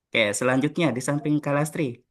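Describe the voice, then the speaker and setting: A young man from Jakarta hosting a casual group podcast, friendly and relaxed, often pausing on "eh". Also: on the high side. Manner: friendly, relaxed, frequent filler "eh". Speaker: podcast host.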